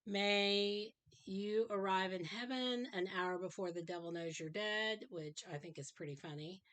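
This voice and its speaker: A woman's voice: mid-range voice, a little slow, fairly bright tone. A middle-aged woman chatting casually in her home craft room, rambling with frequent ums and uhs, her voice dry after a sinus infection. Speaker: craft vlogger. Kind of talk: casual show-and-tell chat.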